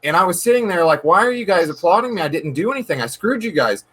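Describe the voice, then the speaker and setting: Earnest, slightly high-pitched man. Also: big pitch swings, fast, a bit bright, breathy edge. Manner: earnest, emphatic, repeats words while thinking. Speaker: card shop owner, guest. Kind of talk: casual conversational interview. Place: dark room.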